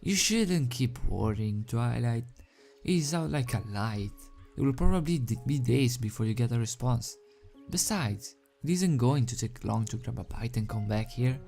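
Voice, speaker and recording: A man's voice: mid-range voice, expressive, normal speed, creaky, darkish tone, faint music behind. A man voicing a blunt, questioning little male dragon assistant in a storybook reading. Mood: serious, urging.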